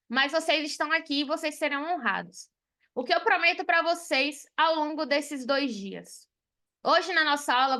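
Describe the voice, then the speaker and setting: A woman's voice: high-pitched, bright, forceful tone. A woman from Salvador, an accountant teaching a live payroll class, talking frankly and chatting with her students. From Salvador, Bahia, Brazil. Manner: frank and chatty, with frequent tag questions.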